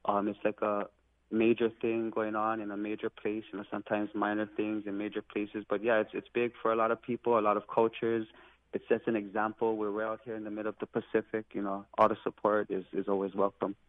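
A man from Hawaii, a guest on a radio interview, explaining with earnest, halting speech full of fillers and restarts. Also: on the low side, completely flat delivery, no echo. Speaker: guest, Hawaiian language teacher. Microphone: phone call. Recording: tinny phone line, dry.

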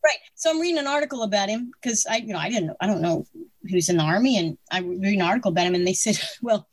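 A woman's voice: mid-range voice, fast, no room sound. Opinionated woman in her sixties, dry sound. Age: 60s. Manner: opinionated, animated, laughs between points. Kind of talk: casual political conversation.

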